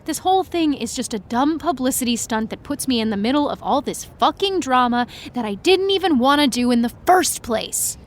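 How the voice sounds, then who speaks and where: An actress playing a jaded, sulky young writer, complaining wearily in a naturalistic audio drama. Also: female voice, high voice, lively intonation, normal speed, few pauses. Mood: weary, bitter. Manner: weary, exasperated complaining.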